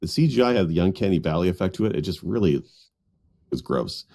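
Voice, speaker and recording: A man's voice: sits low, big pitch swings, quick pace, dark tone. A man co-hosting a podcast in a casual group conversation. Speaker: podcast co-host.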